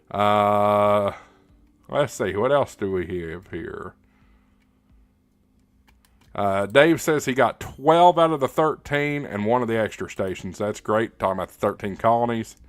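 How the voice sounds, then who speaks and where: A man's voice: on the low side, no echo. A man hosting a casual livestream, reading out viewer comments and answering in a conversational, rambling way as he thinks aloud. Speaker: livestream host.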